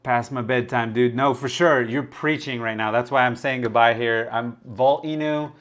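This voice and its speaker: A man casually hosting a livestream, rambling, laughing often and reading out viewer chat, his voice a little raspy. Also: medium pitch, steady tone, moderate pace, fairly bright tone. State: slightly raspy voice. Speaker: livestream host.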